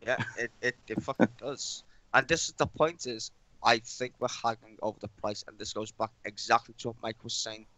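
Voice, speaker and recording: A young man from Liverpool with a Scouse accent, calling in to a football talk stream and swearing bluntly and excitedly. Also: medium pitch, normal speed, no echo, poor audio. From Liverpool, England. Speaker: caller. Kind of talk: heated call-in football chat.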